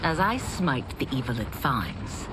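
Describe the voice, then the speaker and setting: A woman playing a solemn, repentant demoness in a video game cutscene, speaking gravely and formally. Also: a bass voice, sing-song, noisy background, full character voice. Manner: grave, formal, measured speech. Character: solemn repentant demon woman seeking to purify her soul.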